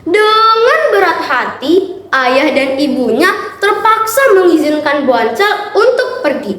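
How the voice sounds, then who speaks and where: A woman recites a folktale in a very high, animated voice. Her delivery is measured and expressive, a little slow. The room echoes around her, with some noise behind. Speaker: storytelling contestant. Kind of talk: scripted storytelling narration of a folktale. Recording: roomy echo with clear background noise.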